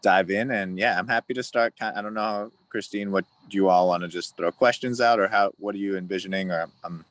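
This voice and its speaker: A man's voice: low-pitched, fairly even intonation, brisk, fairly bright tone, no room sound. A man from El Salvador who works in family engagement for a school district, explaining his role earnestly on a video call. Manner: friendly, earnest, thinks aloud. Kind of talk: introducing himself at a virtual community meeting.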